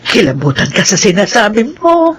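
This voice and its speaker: An actress playing a stern older matron fearful of scandal in a Filipino radio horror drama, with a Filipino accent. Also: female voice, medium pitch, big pitch swings, normal speed, slightly rough. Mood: grave, firm.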